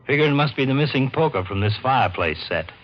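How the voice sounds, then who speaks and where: Weary radio-drama police lieutenant played by an actor. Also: male voice, mid-range voice, lively intonation, fast talker, lightly acted. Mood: businesslike. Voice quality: worn-out sounding. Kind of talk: old-time radio crime drama acting. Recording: muffled, slightly noisy old radio recording.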